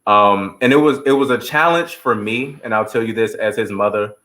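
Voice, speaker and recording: A man's voice: on the low side, normal speed, pushed and bright. Guy actor in an interview, heartfelt and earnest, kinda preachy, quotes scripture. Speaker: stage actor, interview guest.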